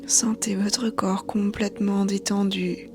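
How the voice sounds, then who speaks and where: A woman yoga teacher guiding a meditation in a soothing, hushed, breathy voice. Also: medium pitch, level tone, takes it slowly. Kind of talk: guided meditation narration. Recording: soft background music. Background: quiet music.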